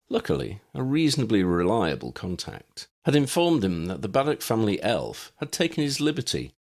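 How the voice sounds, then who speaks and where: Low-voiced man narrating with lively intonation. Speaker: audiobook narrator. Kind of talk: scripted story narration.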